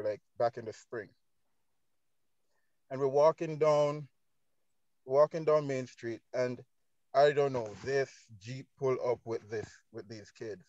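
A young man with a Jamaican accent telling things casually and haltingly, repeating phrases, on a dull phone video call. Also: mid-range voice, darkish tone. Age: young adult. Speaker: panelist, business owner. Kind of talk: casual anecdotal talk.

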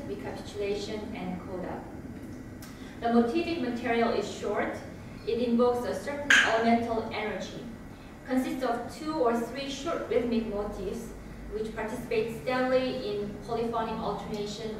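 A woman giving a lecture recital, reading her presentation in a measured way with a few hesitations. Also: a little high. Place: recital hall with grand piano and projection screen. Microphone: far room camera. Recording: echoey hall from far off, audible room noise.

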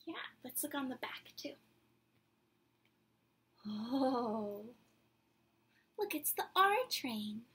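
A young woman with a high, slightly husky voice reads a children's picture book aloud. She takes her time, shaping phrases playfully and with drama. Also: animated, very slow, long pauses, a bit bright. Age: 20s. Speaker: children's read-aloud presenter. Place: small dim indoor room at home.

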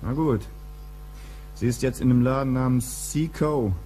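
A man's voice: medium pitch, normal speed, warm tone, faint music behind, close to an everyday voice. Young male boyfriend role, acted by a man: surly, defensive, slangy, mid-range voice, crime radio drama. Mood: offhand, wary.

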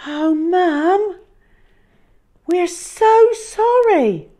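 A woman's voice: very high-pitched, lively intonation, extremely slow, dark tone, voice pushed up, full character voice. A woman voicing a small, polite, playful boy with an earnest manner in a picture-book story. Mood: apologetic. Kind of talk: children's picture-book reading.

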